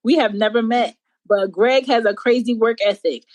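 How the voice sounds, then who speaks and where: A woman's voice: fairly high pitch, fairly even intonation, moderate pace, no echo. A woman hosting a podcast, speaking in an earnest, motivational way. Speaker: podcast host. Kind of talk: casual podcast chat.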